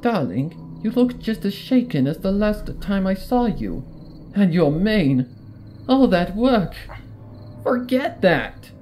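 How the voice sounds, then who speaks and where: A reader voicing a theatrical, refined lady unicorn, higher-pitched. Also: sing-song, slow, warm tone, soft background music, poor audio. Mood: dramatic concern. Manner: theatrical, doting. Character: refined, dramatic female unicorn pony, concerned and fussy.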